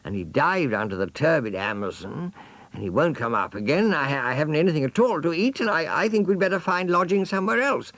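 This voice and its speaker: A man's voice: fairly high pitch, animated, fast, fairly bright tone, no room sound, voice pushed up. A man voicing a whiny, muddled young jaguar cub in a storybook reading, stammering and plaintive. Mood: whiny, bewildered.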